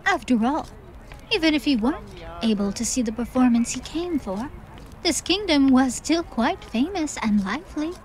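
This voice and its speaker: A woman's voice: sits high, lively intonation, moderate pace, a little hiss or hum, low-quality recording. A woman reading scripted fantasy storybook narration as an audiobook narrator.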